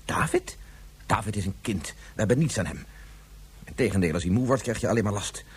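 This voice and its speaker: An actor in a Dutch radio drama playing a guilt-ridden, determined scientist and father, speaking in an earnest, measured, insistent way. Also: on the low side, husky. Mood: exasperated.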